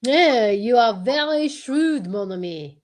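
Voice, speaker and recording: A woman voicing a shrewd male detective in an amateur radio drama, formal and halting. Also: fairly high pitch, expressive, a little mellow. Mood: inquisitive. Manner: formal, deliberate, halting, peppered with French phrases.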